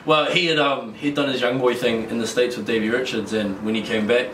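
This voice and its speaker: A man's voice: medium pitch, fairly even intonation, brisk. A young man with a New Zealand accent, chatting casually as an interview guest, laughing easily and rambling with many restarts. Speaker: guest wrestler. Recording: echoey room, distant mic, faint noise.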